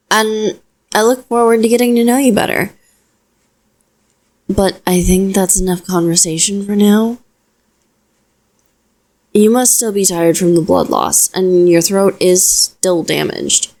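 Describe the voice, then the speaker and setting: A woman playing a protective, self-deprecating young witch in an audio roleplay, talking softly and reassuringly to the listener. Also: medium pitch, lightly acted. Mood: shy, warm, then gentle, protective.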